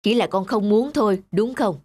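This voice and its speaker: A woman voicing a patient, encouraging cartoon father who reasons gently and instructively. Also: mid-range voice, normal speed, close to an everyday voice. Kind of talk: cartoon dialogue. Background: music.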